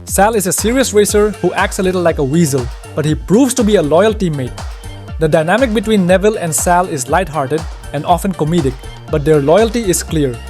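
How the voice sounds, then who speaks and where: A man's voice: sits high, lively intonation, fairly quick, darkish tone. A man reading scripted storyline narration as the narrator. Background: faint music.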